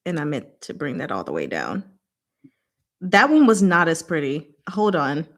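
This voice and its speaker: A woman's voice: low-pitched, wildly swooping pitch, moderate pace, fairly bright tone. A woman hosting a casual book discussion, explaining things informally with playful, rambling asides.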